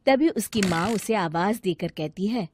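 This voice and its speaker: A woman reading scripted narration for an animated moral story. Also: medium pitch, measured pace, slight background noise.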